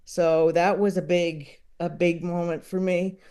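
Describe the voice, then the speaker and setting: A podcast guest talking casually and reflectively, in a halting, thoughtful way, repeating words while searching for the right phrasing. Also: medium pitch, little pitch movement, mellow, clear, resonant tone. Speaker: podcast guest, comedy enthusiast. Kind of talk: casual reflective interview talk.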